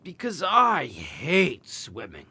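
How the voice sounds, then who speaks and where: A gentle old turtle in an audio drama, acted by a woman. He speaks slowly, with lively swings of pitch and a sad, hopeful air. The sound is dry. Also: slightly rough, dry recording, full character voice. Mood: anxious hope.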